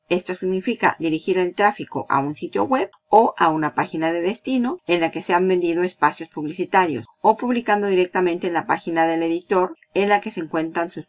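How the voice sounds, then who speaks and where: A woman's voice: fairly low pitch, muffled recording. A woman teaching a screen-recorded online marketing tutorial, chatty and explanatory, often repeating her points for emphasis. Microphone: voice-over over screen capture.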